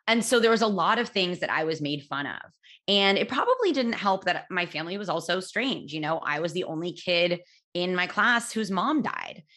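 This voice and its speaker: A woman in her thirties, a podcast host, telling her own story in a candid, chatty way, loud-voiced, with fillers and restarts. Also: on the low side, very animated, moderate pace. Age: 30s. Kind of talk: personal storytelling monologue.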